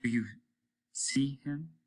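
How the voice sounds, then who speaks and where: A man voicing a calm, gentle, pitying mayor in a story reading, speaking in a measured way. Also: mid-range voice, pitched above the performer's own voice. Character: gentle, pitying, unyielding male mayor of faraway town.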